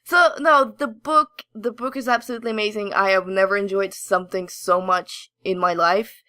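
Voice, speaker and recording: A woman co-hosting a casual geeky podcast, telling stories in an excitable, giggly, gushing way. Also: medium pitch, normal speed, smooth, no echo, studio quality. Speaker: podcast co-host. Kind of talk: casual geeky chat, animated storytelling.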